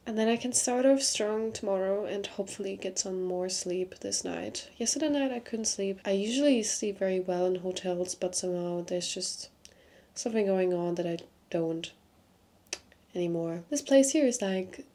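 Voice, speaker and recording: A young woman with a congested voice, talking candidly to camera from a small hotel room, sometimes dropping to a soft near-whisper. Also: mid-range voice. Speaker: vlogger, consultant. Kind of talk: casual talk to camera.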